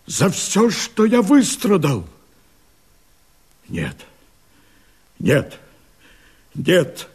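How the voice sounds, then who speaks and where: An actor playing a betrayed, fierce and anguished Cossack rebel leader, declaiming verse in a radio drama with a husky, gravelly voice. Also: male voice, a little high, full character voice. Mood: stunned, disbelieving. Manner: drawn-out, impassioned declamation.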